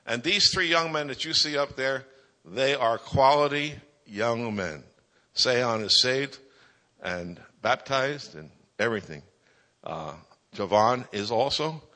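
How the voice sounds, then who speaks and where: A man's voice: medium pitch, expressive, measured pace, sharp tone. A man speaking to a church congregation, giving a prayer update and introducing his wife, with a jokey manner. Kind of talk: church announcements. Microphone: microphone. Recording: church hall reverb.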